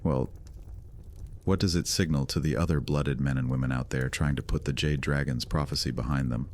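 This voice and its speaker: A man's voice: rumbling low, steady tone, normal speed, a little mellow, a little hiss or hum. A man voicing a cocky, teasing male vampire loner in a fantasy audio drama, with a sarcastic, casual manner.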